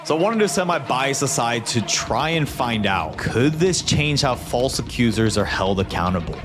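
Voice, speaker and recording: A young man hosting a video, talking casually with swearing, then narrating a documentary intro. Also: medium pitch. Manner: animated, laughs often, swears casually. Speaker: YouTube host.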